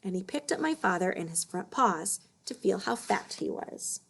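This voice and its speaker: A woman's voice: medium pitch, lively intonation, moderate pace, gravelly, a little hiss or hum. A woman narrating a children's story as a read-aloud. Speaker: storybook reader.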